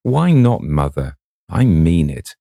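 A man voicing a blunt, grumbling rough young man in a storybook reading. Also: deep voice, big pitch swings, slow, warm tone, no room sound, clean studio sound, full character voice.

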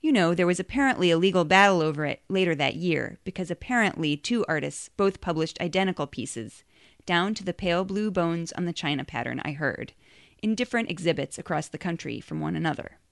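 A woman's voice: on the low side, animated, brisk, vocal fry, no echo, clean studio sound. A woman narrating a short story in a conversational first-person style.

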